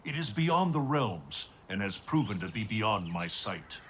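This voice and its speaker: An actor playing a wise, solemn thunder god who speaks in a measured, formal way in a video game cutscene. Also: male voice, on the low side, big pitch swings, a little hiss or hum. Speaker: mentor.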